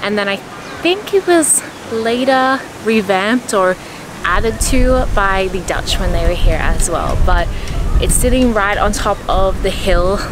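A woman talking casually to the camera as a travel vlogger, chatty and quick to laugh, walking outdoors between sights. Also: mid-range voice. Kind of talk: casual travel vlog narration. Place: outdoors on town streets and at sights. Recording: loud music bed over the voice. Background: loud background music.

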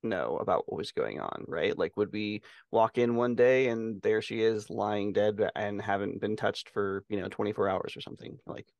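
A man chatting casually as a podcast co-host, thinking aloud in a halting way. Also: lowish voice, creaky, no room sound. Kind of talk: casual chat. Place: indoor room on a video call.

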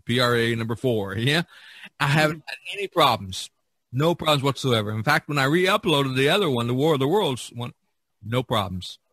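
Male podcast host, medium pitch, casual anecdotal delivery with repeated words and a halting flow, very dry recording. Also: fairly bright tone, no room sound.

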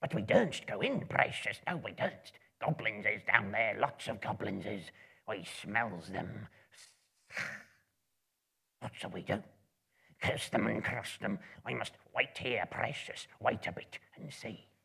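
A man's voice: fairly low pitch, lively intonation, measured pace, pushed and bright, full character voice. A man voicing a small, wretched, spiteful cave creature who hisses and mutters to himself in broken grammar, in a dramatic book reading. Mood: fearful, bitter. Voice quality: raspy, hissing, creaky.

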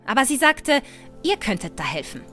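A woman playing a bold, sharp-tongued, impatient young adventuress in a fan-made fantasy audio drama. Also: sits high, very animated, moderate pace, soft background music, exaggerated voice. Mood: dry, stern. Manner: sarcastic, bossy.

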